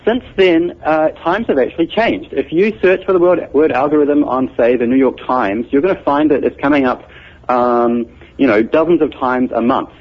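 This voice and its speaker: Husky man with a New Zealand accent. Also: mid-range voice, normal speed. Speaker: guest author, computer scientist. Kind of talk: explanatory interview answers. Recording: muffled radio broadcast audio.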